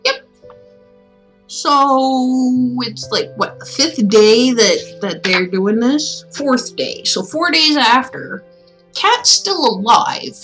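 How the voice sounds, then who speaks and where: A woman's voice: fairly high pitch, expressive, takes it slowly, a bit bright, faint music behind, low-quality recording. A woman chatting casually as a livestream host, rambling and musing aloud in an informal way. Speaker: streamer reading stories aloud.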